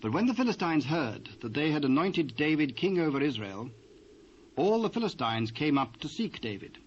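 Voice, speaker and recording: A British man narrating a dramatised Bible reading in a measured, formal manner. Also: on the high side, very animated. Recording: dull, noisy old cassette.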